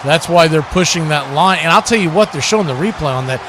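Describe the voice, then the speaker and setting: A man with a notably high voice delivers live American football play-by-play as the announcer. Clear background noise runs behind his commentary. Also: lively intonation, fast.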